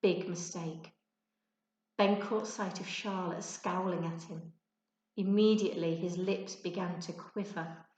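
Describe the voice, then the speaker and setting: A woman giving an expressive children's storybook narration, like a teacher reading to her class. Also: lowish voice, a little slow. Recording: echoey untreated room.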